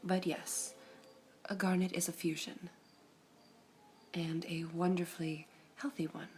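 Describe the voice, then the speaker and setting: A woman voicing a serene all-seeing female gem in an ASMR roleplay, whispering softly in a measured, formal way. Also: on the low side, little pitch movement, faint music behind, natural voice. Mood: calm whispering.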